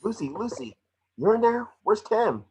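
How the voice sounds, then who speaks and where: Guy doing wisecracking little critter detective, raspy, pitch all over the place, wry and scrappy, table read. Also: very animated, moderate pace, darkish tone, heavily acted. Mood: confused, urgent. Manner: wry, muttering to himself then exclaiming. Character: small wisecracking electric creature detective, scrappy, self-motivating. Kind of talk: amateur online table read of a film script.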